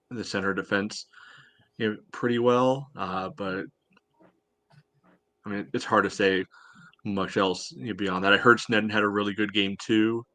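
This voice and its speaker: A man's voice: fairly low pitch, pushed and bright, dry recording. A man in casual sports chat as a podcast panelist, talking in an offhand way. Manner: offhand, frequent "you know".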